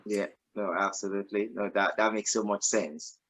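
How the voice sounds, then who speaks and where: A man's voice: fairly low pitch, steady tone, moderate pace, fairly bright tone. A man hosting a radio interview, encouraging his guest and asking long, rambling questions full of fillers. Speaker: radio host. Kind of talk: casual interview chat.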